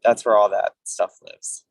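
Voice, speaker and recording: A man's voice: fairly low pitch, no echo. A man who performs on stage, chatting casually and appreciatively as a guest on an online panel. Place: remote online call, dry close sound.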